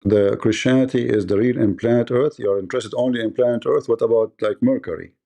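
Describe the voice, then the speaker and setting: Combative host with a mellow, dark voice. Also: male voice, mid-range voice, steady tone, fast, creaky. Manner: combative, repetitive and quizzing. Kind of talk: live-stream debate.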